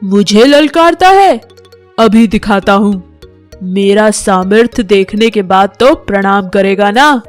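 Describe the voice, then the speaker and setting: Woman playing a pompous, slow-talking proud elephant. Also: mid-range voice, animated, faint music behind. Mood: boastful, indignant. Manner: pompous, demanding. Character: proud, boastful male elephant, bossy and quick to anger. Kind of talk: animated children's animal fable.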